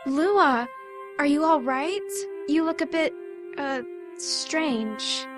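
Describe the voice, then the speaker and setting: Woman playing a high-voiced, concerned heroine. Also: lively intonation, extremely slow, full character voice. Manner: earnest, concerned. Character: caring, questioning female heroic warrior. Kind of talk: amateur full-cast audiobook drama acting. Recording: loud music bed under the voice.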